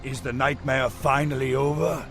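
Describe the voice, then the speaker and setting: An actor playing a proud, suspicious human warlord in a video game, gruff and blustering. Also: male voice, medium pitch, little pitch movement, close to an everyday voice. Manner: blustering, deliberate. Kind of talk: video game cutscene acting. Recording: game audio under clear music.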